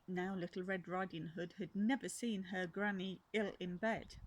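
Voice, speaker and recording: An older woman, a grandmother, reads a children's storybook aloud, adding gentle asides for young listeners. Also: lowish voice, steady tone, normal speed. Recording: faint background noise.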